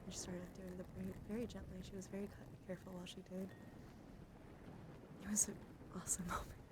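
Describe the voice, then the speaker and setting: A woman streamer speaking softly and soothingly in an ASMR personal-attention style, often slipping into a whisper. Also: mid-range voice, flat delivery, fairly quick. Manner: soft-spoken, often drops into whispering; gentle, soothing, coaxing. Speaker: live streamer host. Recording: noisy live stream with clear background noise. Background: background noise, dog outside.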